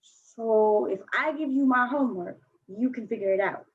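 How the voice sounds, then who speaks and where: A woman's voice: a little high, normal speed, husky. A woman playing a blunt, slangy, defensive fourteen-year-old girl skateboarder in a staged play reading. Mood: hopeful. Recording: dull lo-fi recording.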